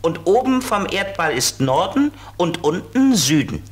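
A man playing a friendly know-it-all flying ladybird car in a children's audio play, explaining things simply in a husky voice. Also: sits high, very animated, unhurried, heavily acted. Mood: patient, explaining.

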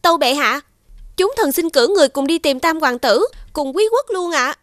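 A woman voicing a proud, shrewd young princess in an animated fairy tale, speaking in a formal, courtly and assertive way. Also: sits high, normal speed, no echo, studio quality. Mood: haughty, formal.

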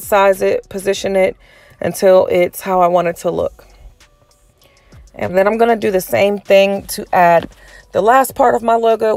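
A woman giving a casual step-by-step tutorial, sounding a little raspy and hoarse from a cold. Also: mid-range voice, darkish tone, no room sound. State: getting over a cold, slightly hoarse. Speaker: tutorial host.

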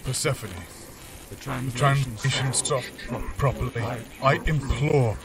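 Weird creature thing, super slow n halting, creaky, stacks synonyms, horror podcast. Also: male voice, medium pitch, fairly even intonation, a loud crowd. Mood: pleading, urgent. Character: strange sentient otherworldly captive creature speaking through translator. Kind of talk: sci-fi horror audio drama acting. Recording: loud music behind, slightly distant.

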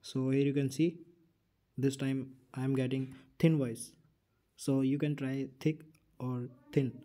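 A man's voice: mid-range voice, little pitch movement, unhurried, warm tone. A man hosting a casual app tutorial, explaining things plainly step by step and repeating himself. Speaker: tutorial host.

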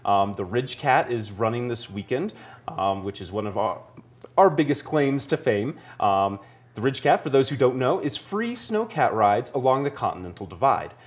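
Easygoing man pitching as a TV guest. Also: very animated, normal speed. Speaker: ski area spokesman, TV guest. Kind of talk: casual promotional interview talk. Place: small TV studio, seated on couch.

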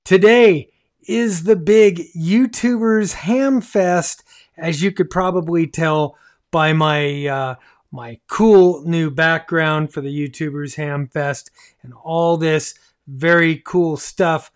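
A man's voice: on the high side, slow. A man in his fifties hosting a casual livestream with enthusiasm, often repeating phrases for emphasis. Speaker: livestream host. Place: small home radio room, indoors.

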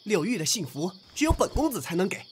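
Man acting as naive, lightly high-voiced young swordsman. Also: sing-song, quick pace, breathy edge, exaggerated voice. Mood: defiant. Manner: earnest, old-fashioned courtly wording. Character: naive, proud young swordsman from the ancient past. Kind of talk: audio drama acting.

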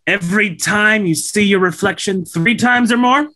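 A man playing a calm, officious school counsellor who recites official doctrine, at a script read over a video call. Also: high-pitched, normal speed. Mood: measured, official.

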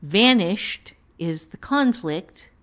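A woman giving unscripted teaching talk on a podcast, thinking aloud in a halting manner. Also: mid-range voice, animated, a bit bright. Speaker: writing teacher, author.

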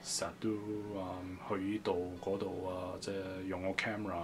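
A man doing a halting, unsure young speaker. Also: deep voice, flat delivery, music in the background, pitched below the performer's own voice, lightly acted. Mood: hesitant. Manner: hesitant, halting, many fillers. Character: unsure young man fumbling his Cantonese.

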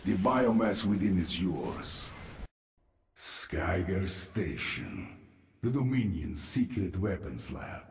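An actor playing a grim, vengeful infested military man in a video game, gravelly and commanding. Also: sits low, expressive, slow pace, reverberant, slight background noise. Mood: fierce, commanding. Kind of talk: video game cutscene and mission acting.

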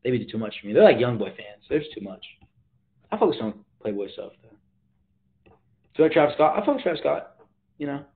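A man's voice: mid-range voice, big pitch swings, brisk, pauses often. A teenage boy hosting a casual livestream from his bedroom, talking in a slangy, laid-back way and reading viewer comments aloud, filmed on a phone at arm's length. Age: teens. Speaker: livestream host. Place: bedroom, indoors. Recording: tinny phone-like audio.

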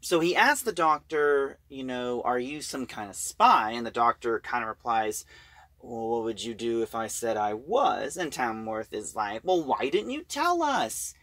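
A man's voice: mid-range voice, very animated, unhurried, sharp tone, no echo. A man giving a casual recap of a story as an audio drama reviewer.

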